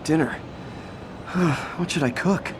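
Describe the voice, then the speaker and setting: An actor playing a friendly, earnest young American superhero in a video game, talking in a casual, slightly awkward way. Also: male voice, on the high side, slow pace, background noise. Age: young adult. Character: earnest, friendly young male superhero juggling everyday life.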